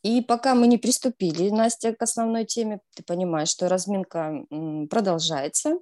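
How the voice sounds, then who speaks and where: A woman's voice: medium pitch, normal speed, dark tone. A woman co-hosting a radio show who runs a quiz game in a teasing, upbeat way. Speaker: radio co-host, quiz presenter.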